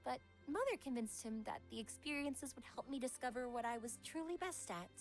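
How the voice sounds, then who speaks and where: A woman's voice: high-pitched, animated, a little fast, faint music behind. A woman voicing a refined, affectionate young psychologist in a visual novel game, with genteel, careful phrasing. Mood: warm, interested.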